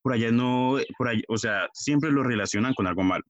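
A man's voice: medium pitch, a little fast, no room sound. Dull lo-fi recording of a Colombian man. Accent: southwestern Colombian. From Puerto Tejada, Colombia. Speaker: podcast guest. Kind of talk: casual chat. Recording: dull, lo-fi, dry sound.

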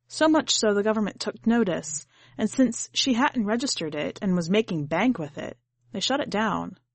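A woman's voice: medium pitch, dry recording. A woman reading literary fiction as scripted narration for a podcast. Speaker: podcast host and story reader.

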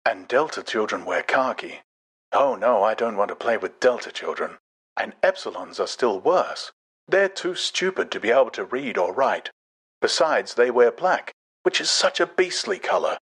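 A man voicing a soft, very distinct, prim and smug teaching recording in an audiobook. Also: medium pitch, big pitch swings, normal speed, breathy edge, pitched above the performer's own voice, full character voice. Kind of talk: dramatized audiobook character.

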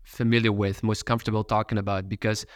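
A man's voice: lowish voice, flat delivery, fast talker, clean tone, no room sound, studio quality. A man hosting a podcast, reading an introduction and then asking loose, rambling questions. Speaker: podcast host.

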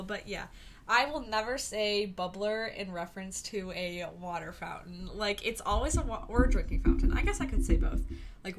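A woman's voice: medium pitch, a bit bright, a little hiss or hum. A young woman from the Chicago area hosting a solo podcast, chatting casually and rambling through tangents with many fillers. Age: young adult. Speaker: podcast host.

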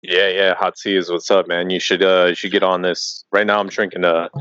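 Laid-back deep-voiced man in his thirties. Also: little pitch movement, brisk, a bit bright, no echo, dull audio. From Colorado, USA. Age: early 30s. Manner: laid-back, calls the host "man" and "bro", many fillers and restarts. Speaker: podcast guest. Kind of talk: casual interview chat.

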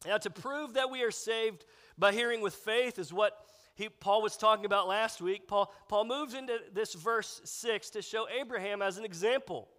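Congested, nasal high-voiced preacher. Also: male voice, fairly bright tone. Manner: conversational preaching with fillers. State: congested, nasal from a sinus infection. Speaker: pastor. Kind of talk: sermon. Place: church sanctuary. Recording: long hall reverb.

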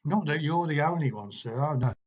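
A man voicing an eerie, rough-spoken boy. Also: a little high, animated, brisk, pitched above the performer's own voice. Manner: rough working-class speech, quiet and accusing. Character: eerie, cockney-talking boy, unsettlingly calm. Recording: dull, muffled recording.

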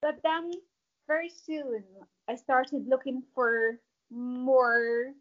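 A woman from Morocco, a mountaineer, answering interview questions in a measured way with fillers. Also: high voice, fairly even intonation, lo-fi sound. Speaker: mountaineer guest.

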